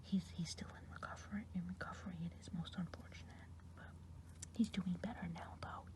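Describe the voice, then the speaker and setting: A woman voicing a kindly, chatty good witch of the woods, whispering softly and rambling in an ASMR roleplay. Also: fairly low pitch, fairly even intonation, fairly quick, natural voice. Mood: gentle whisper. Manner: soft whispering, rambling gossip. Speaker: ASMR performer. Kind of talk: ASMR roleplay eye exam.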